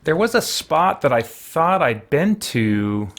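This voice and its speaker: A man giving casual, halting live-stream commentary on a game, thinking aloud as he goes. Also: on the high side, big pitch swings, a bit bright.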